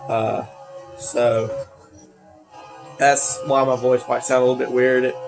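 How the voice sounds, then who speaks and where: A man's voice: mid-range voice, flat delivery, takes it slowly, gravelly, over music. A teenage man, congested from allergies, rambling with many fillers while commenting on a racing game. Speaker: gaming video creator.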